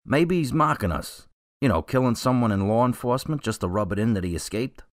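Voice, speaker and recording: An actor playing a casual, matter-of-fact police officer in a radio drama. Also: male voice, medium pitch, animated, fairly quick, dry recording, clean studio sound, lightly acted.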